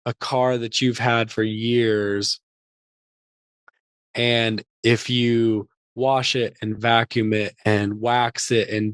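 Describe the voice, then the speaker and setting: A man co-hosting a podcast in casual chat, his voice hoarse and gravelly and cracking after an illness, rambling with many fillers. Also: medium pitch, no echo. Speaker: podcast co-host.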